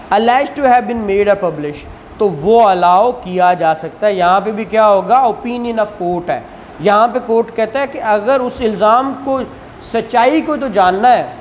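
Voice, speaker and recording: Slow-paced Pakistani man teaching law, noisy recording. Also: high-pitched. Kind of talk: explanatory law lesson, reading statutes aloud then paraphrasing.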